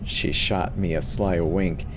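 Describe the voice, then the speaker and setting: A man with a very low voice gives a dramatic, scripted reading of a horror story. His delivery carries a weary, exasperated edge. Clear ambient noise sits behind him. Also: fairly even intonation, slow. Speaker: narrator of horror stories. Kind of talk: dramatic first-person scripted narration.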